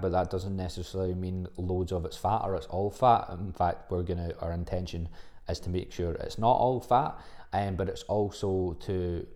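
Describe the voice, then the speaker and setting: Soft-spoken deep man, blunt and rambling. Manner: rambling and blunt, with frequent fillers and swearing. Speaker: podcast host and fitness coach. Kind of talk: casual solo podcast monologue.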